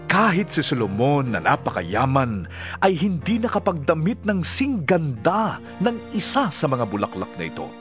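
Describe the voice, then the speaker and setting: An actor playing an earnest male teacher preaching to followers in a dramatized scripture reading, solemn, deliberate and expressive. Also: sits high, husky, no room sound, studio quality, exaggerated voice. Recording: background music under the voice. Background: music.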